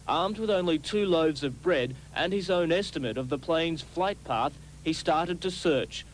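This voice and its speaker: A man's voice: on the high side, a little slow, slight background noise, rough recording. A man with an Australian accent narrating a scripted television news documentary as a reporter. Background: music.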